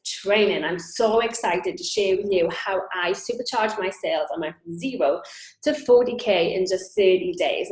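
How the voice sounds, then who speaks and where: A woman's voice: mid-range voice, reverberant, low-quality recording. A woman in her thirties with a Welsh accent, giving a chatty, upbeat online sales training as a coach. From Wales. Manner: upbeat, chatty, direct to camera.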